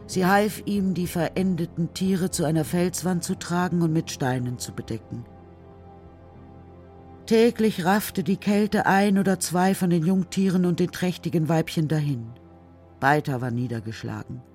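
A narrator reading a children's radio drama story in a measured, serious, literary style. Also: mid-range voice, darkish tone, soft background music.

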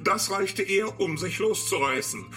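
An actor playing a dutiful, deadpan male informant serving a villain in a children's radio play, reporting matter-of-factly. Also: high-pitched, a little slow, soft background music, heavily acted.

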